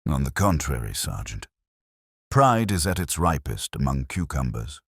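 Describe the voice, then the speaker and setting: A man voicing a mild, shrewd, unhurried police inspector in an understated, musing way for a mystery story. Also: extremely low pitch, very animated, slightly rough, no echo, heavily acted. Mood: dry musing.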